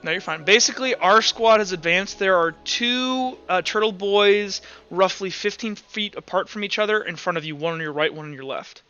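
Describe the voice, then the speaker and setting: A man's voice: sits high, moderate pace, hardly any pauses, bright, forceful tone, clear, resonant tone, lo-fi sound. A man working as game master, narrating and refereeing an online tabletop game in a casual, profane way. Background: music.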